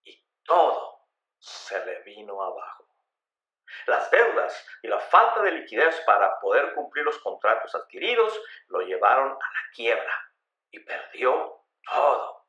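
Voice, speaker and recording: Guy narrating a radio story, really lively up and down delivery, bit of echo on it. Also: mid-range voice, unhurried. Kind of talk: scripted dramatic narration. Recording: roomy, echoey voice recording.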